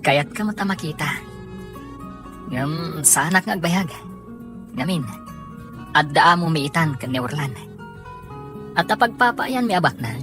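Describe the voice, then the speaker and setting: A modest working man acted by a woman speaks low in a scripted radio drama. He is a hardworking driver, and music plays clearly behind him. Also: lively intonation, a little slow, pauses often.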